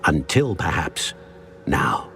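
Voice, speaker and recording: A man playing a wise, solemn old wizard guardian in a video game, with stately, formal, deliberate phrasing. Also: extremely low pitch, very animated, creaky, fairly bright tone, slight background noise, heavily acted. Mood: grave, measured. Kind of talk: cinematic video game acting.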